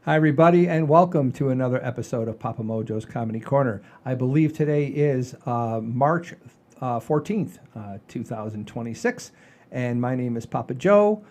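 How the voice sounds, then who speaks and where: A man's voice: medium pitch, animated, moderate pace, a little mellow. A man in his sixties hosting a casual comedy chat show in a folksy, rambling, enthusiastic way. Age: 60s. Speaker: podcast host.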